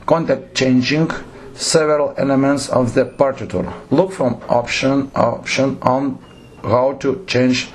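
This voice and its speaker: A man with a Lithuanian accent and Lithuanian as his native language, giving a lecture on theatre directing. He reads out numbered points haltingly and keeps correcting himself. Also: mid-range voice, steady tone. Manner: halting, frequent self-corrections and restarts.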